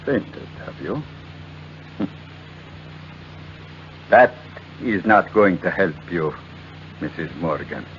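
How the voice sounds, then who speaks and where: Suave, low-voiced, menacing gentleman blackmailer. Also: animated. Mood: threatening, mocking. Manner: oily, overly formal politeness, deliberate phrasing. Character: suave, menacing male blackmailer, coolly polite. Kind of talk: 1940s radio mystery acting. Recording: muffled old radio recording with noisy hum.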